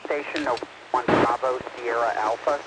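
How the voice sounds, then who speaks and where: A man's voice: medium pitch, steady tone, brisk. A man working as an amateur radio operator, giving clipped call signs over a radio transmission. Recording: very noisy ham radio satellite transmission.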